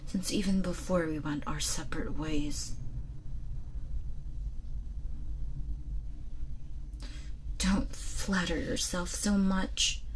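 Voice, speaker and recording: A woman playing a proud, sardonic captive royal in a roleplay audio drama, breathy and theatrical, with a taunting manner. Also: deep voice, a little hiss or hum, low-quality recording. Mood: defiant. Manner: haughty, taunting, theatrical, deliberate.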